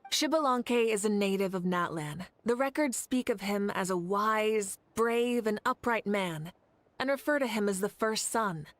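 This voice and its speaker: A woman voicing a confident, carefree, teasing warrior leader in a video game, self-assured and measured. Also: mid-range voice, no echo, close to an everyday voice. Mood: assured, explanatory.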